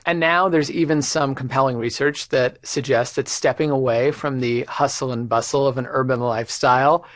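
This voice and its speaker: A man's voice: mid-range voice, steady tone, normal speed, no room sound. A man co-hosting a podcast, reading ads and trading dry, self-deprecating jokes. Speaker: podcast co-host. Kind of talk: casual podcast banter and ad reads. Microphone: studio microphone.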